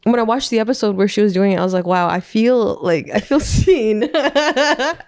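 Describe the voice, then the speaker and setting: A woman's voice: a little high, normal speed, no room sound. Woman, NYC-raised, casual podcast guest; meandering, giggly, trailing delivery; dry close mic. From New York City. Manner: rambling, laughs often, trails off softly. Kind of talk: casual chat.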